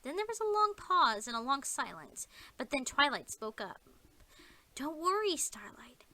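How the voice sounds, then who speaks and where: A woman storyteller with a fairly high, bright and forceful voice. She reads scripted narration dramatically, in the manner of an audio play. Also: big pitch swings, moderate pace.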